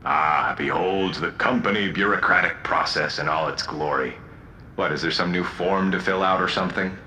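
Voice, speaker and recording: Manipulative killer, sharp, echoey low voice. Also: male voice, moderate pace, slight background noise. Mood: smug, gloating. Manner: smug, sardonic. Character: manipulative male condemned killer pleading then gloating. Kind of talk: audio drama acting.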